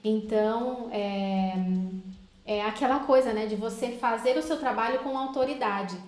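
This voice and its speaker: A woman's voice: on the high side, a little slow, a little mellow. A woman, a hypnotherapist, chatting persuasively with a guest and often adding tag questions. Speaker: hypnotherapist host. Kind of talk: casual interview chat. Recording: roomy echo.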